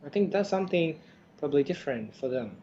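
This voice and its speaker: A man whose first language is Chinese chats in hesitant, filler-heavy English as a podcast co-host. His voice is mellow and dark. The recording sounds a little dull and lo-fi. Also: fairly high pitch, lively intonation, quick pace, a little hiss or hum. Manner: non-native English, hesitant, many fillers. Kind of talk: casual podcast chat.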